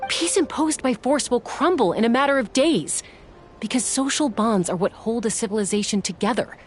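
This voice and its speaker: A woman's voice: on the high side, animated, moderate pace, lightly acted. An actress playing a tough, principled young woman warrior in a video game, blunt, firm and confrontational. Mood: firm, reproachful. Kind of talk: scripted video game voice acting. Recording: music under the voice.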